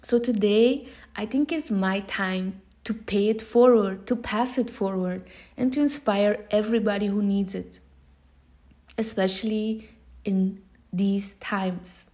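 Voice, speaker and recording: A woman's voice: mid-range voice, slow pace, warm tone. A woman in her thirties from Belgium, a native Dutch speaker with a Belgian Dutch accent, giving an informal, unscripted and earnest podcast introduction. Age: 30s. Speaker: podcast host. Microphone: phone recording.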